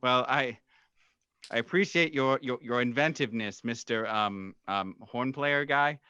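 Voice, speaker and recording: A man's voice: mid-range voice, measured pace, pushed and bright, clean tone, dry recording, lo-fi sound. A man voicing a nervous, evasive man in a gray suit who stammers and hesitates over every word.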